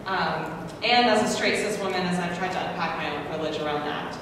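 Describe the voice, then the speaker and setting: A woman's voice: on the low side, brisk. A woman from the United States speaking as a panelist, earnest and self-deprecating, with many ums. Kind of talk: conference panel talk. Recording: echoey hall from far off, noisy.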